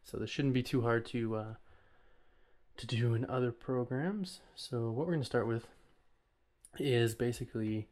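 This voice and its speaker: Man, mid-range pitch, casual tutorial host, informal, hesitant, step-by-step explanations. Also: measured pace, a little mellow. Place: voice-over recorded while working at a computer.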